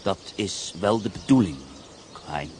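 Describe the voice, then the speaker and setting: An actor playing an escaped German prisoner of war, calm and matter-of-fact as he lays out his plan. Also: low voice, measured pace, a little hiss or hum. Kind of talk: Dutch radio play acting.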